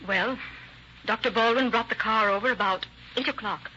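A woman's voice: on the high side, moderate pace, bright, forceful tone, husky. A woman acting an accused murderess giving composed testimony in an old radio courtroom drama. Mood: composed, defensive. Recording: muffled, hissy old-time radio recording.

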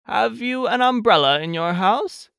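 A narrator voicing a slow, earnest, anxious male toy bear who muses hesitantly in a children's storybook. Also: mid-range voice, expressive, vocal fry, fairly bright tone, clean tone, no echo, studio quality, pitched above the performer's own voice. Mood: earnest, practical. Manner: hesitant, deliberate, drawn-out musing.

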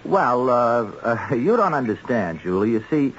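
Animated male schemer. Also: medium pitch, a little slow. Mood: evasive, placating. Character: exasperated, evasive male schemer, smooth-talking ringleader. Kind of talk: 1970s radio drama acting. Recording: dull, faintly noisy old radio recording.

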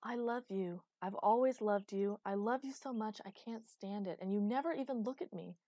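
A woman's voice: mid-range voice, a little fast, dark tone, no echo, clean studio sound, voice pushed up, lightly acted. A woman voicing a small, desperate, defiant male smith who is lovelorn, in a storybook narration. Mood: impassioned.

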